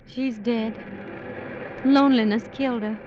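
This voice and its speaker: A woman's voice: on the high side, fairly even intonation, unhurried, natural voice. An actress playing a lonely, timid young woman haunted by fear, wistful and hesitant in an old radio drama. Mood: wistful, confiding. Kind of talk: 1940s-50s radio acting. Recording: muffled old radio recording, clear noise.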